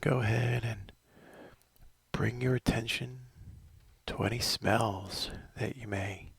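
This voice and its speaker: A middle-aged man leading a guided body scan meditation, slow and soothing, often sinking into a whisper. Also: medium pitch, fairly even intonation, gravelly, breathy edge, no echo.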